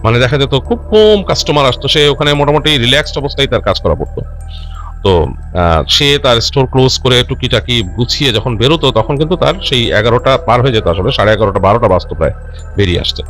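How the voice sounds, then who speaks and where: A man narrates a ghost story as a host, speaking conversationally with lively pitch movement. He often pauses, restarts and repeats himself, dropping in English words, over background music. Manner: halting, self-correcting, repeats and rephrases phrases as he goes; mixes English words into his speech. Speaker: host narrating listener-submitted ghost stories.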